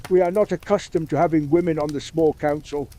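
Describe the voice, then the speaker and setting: Un homme qui prête sa voix à un conseiller royal sévère et imposant, au ton formel et mesuré. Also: male voice, a little high, fairly even intonation, fairly quick, mellow, voice pushed up, lightly acted. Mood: stern, guarded. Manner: formal, choosing words carefully. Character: stern imposing male royal lawmaker.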